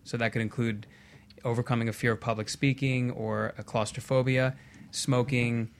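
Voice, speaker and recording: A man's voice: mid-range voice, level tone, normal speed, a little hiss or hum. A man speaking as an expert guest in a casual interview, articulate and explanatory. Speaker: hypnotist guest. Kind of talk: casual talk show interview.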